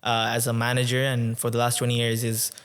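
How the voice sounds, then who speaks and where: Flat-voiced young man, smooth and clear. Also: medium pitch. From Tanzania. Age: 20s. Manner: reflective, with many um and uh pauses. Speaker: podcast guest. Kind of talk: conversational interview storytelling.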